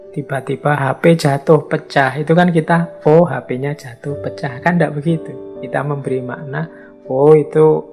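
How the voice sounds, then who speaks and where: Middle-aged male lecturer, gravelly and slightly hoarse, chatty delivery that acts out everyday remarks. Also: fairly high pitch. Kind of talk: philosophy lecture in a mosque.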